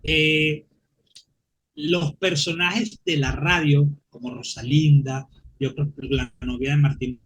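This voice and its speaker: A man's voice: a little high, steady tone, takes it slowly, fairly bright tone, breathy edge, low-quality recording. A Venezuelan-accented man talking informally as an interview guest, detailed and often searching for the right words. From Maracay, Venezuela. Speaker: guest comics historian.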